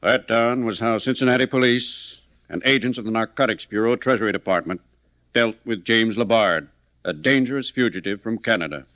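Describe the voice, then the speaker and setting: An actor playing an authoritative case narrator in scripted 1940s radio style. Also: male voice, fairly low pitch, fairly quick, a bit bright, no room sound, natural voice. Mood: formal. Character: authoritative male case narrator summing up to the host. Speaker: narrator of a radio crime series.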